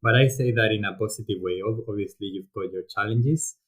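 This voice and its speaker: A man from Spain with a Spanish accent, a podcast guest talking through his career in a thoughtful, casual way with frequent pauses and restarts. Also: lowish voice, fairly bright tone. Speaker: podcast guest, volunteering program director. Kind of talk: casual interview talk.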